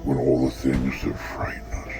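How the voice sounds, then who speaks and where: A man's voice: very deep voice, monotone, normal speed, warm tone, faint music behind. A man delivering an ominous, dramatic horror show introduction as an announcer.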